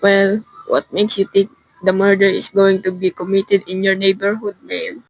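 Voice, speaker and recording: A teenage girl playing an unhurried, dismissive police desk sergeant in a radio suspense drama, offhand and patronizing. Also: mid-range voice, flat delivery, no room sound, lightly acted, rough recording. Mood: skeptical.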